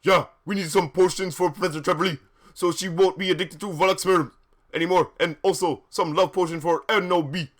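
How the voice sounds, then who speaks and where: A man's voice: sits high, fairly even intonation, brisk, voice pushed up. A man voicing an impatient teenage goth witch girl, pushy and emphatic, in a story reading. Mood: impatient, eager.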